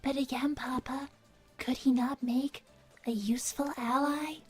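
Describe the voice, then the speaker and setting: A woman playing an earnest, devoted young artificial-intelligence daughter, soft and breathy, speaking politely and precisely in livestream banter. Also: sits high, steady tone, measured pace, soft background music. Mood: calm, curious. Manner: polite, precise, matter-of-fact. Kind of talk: in-character improvised livestream banter.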